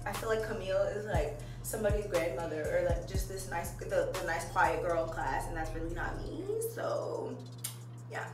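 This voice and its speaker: A woman's voice: lowish voice, steady tone, normal speed, far from the mic. A young woman from Maryland chatting candidly while curling her hair for a vlog, laughing often and swearing casually. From Maryland, USA. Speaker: vlogger. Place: at home. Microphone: phone camera. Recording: roomy, phone held away, music behind.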